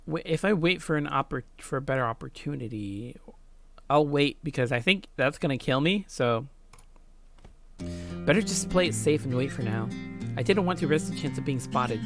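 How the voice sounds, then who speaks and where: A man's voice: medium pitch, normal speed. A man doing a let's play, reading a visual novel aloud, acting out the dialogue with casual commentary and stumbling over words, with music behind him.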